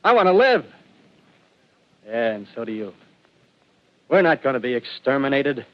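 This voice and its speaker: Brief: man acting a feverish, scheming ex-soldier in a vintage radio drama, mid-pitched, muffled old broadcast. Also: very animated, normal speed, lightly acted. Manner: rambling, feverish, swings between hushed and loud. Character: desperate male ex-militiaman scheming to survive the invaders.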